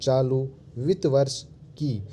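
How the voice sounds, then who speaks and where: A man dictates formal editorial prose at a slow, measured pace. His voice is mellow and soft-edged, and he breaks sentences into short, deliberate groups with spoken punctuation. There is noticeable background noise behind him. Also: medium pitch, fairly even intonation. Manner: measured, deliberate, word groups separated by pauses. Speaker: dictation reader.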